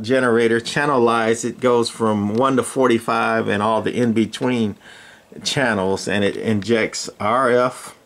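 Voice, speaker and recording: Halting man giving an informal gear demo. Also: lowish voice. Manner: halting, rambling explanation. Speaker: electronics hobbyist presenter. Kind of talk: informal unscripted equipment demonstration.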